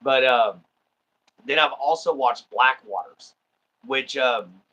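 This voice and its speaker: A man's voice: medium pitch, takes it slowly, sharp tone, lo-fi sound. A man with a Texan accent from Southeast Texas, hosting a livestream in easygoing, rambling casual chat full of ums and uhs. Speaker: livestream host.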